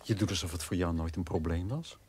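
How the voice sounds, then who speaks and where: An actor playing a resentful, tormented brother in a radio drama, emphatic and reproachful. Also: male voice, low voice, quick pace, a little mellow, slightly rough, no echo. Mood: accusing. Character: resentful, accusing brother tormented by his father's guilt.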